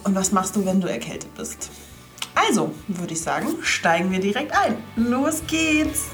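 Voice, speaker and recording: A woman's voice: medium pitch, expressive, normal speed, fairly bright tone, faint music behind. A young woman hosting a casual solo podcast, stuffy-sounding from a cold, cheerful and chatty as she talks straight to the listener. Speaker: podcast host.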